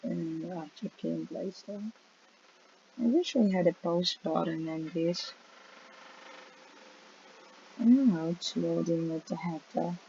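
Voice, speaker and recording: A woman's voice: fairly low pitch, takes it slowly, long pauses, dark tone, a little hiss or hum. A woman streaming a game and chatting casually, recorded with dull lo-fi sound and game music behind her. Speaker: gameplay streamer.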